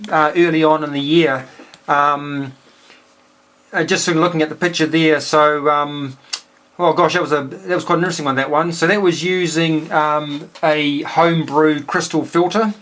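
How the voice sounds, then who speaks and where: A man with a New Zealand accent chatting casually and technically as an amateur radio operator, explaining things in a halting way. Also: a little high, fairly bright tone.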